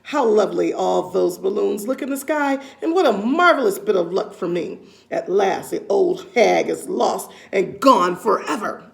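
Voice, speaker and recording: A woman's voice: medium pitch, animated, normal speed, gravelly, hardly any pauses. Woman reading as a nasty, gloating storybook husband. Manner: exclamatory, falsely sweet and gloating.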